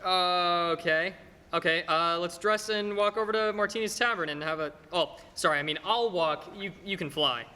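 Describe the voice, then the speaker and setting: A man's voice: high voice, moderate pace, bright, forceful tone, smooth. A teenage man acting a bewildered, stammering, agitated family man in a radio play. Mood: unsettled, friendly. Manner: stammering, flustered. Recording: noisy echoey hall stage recording.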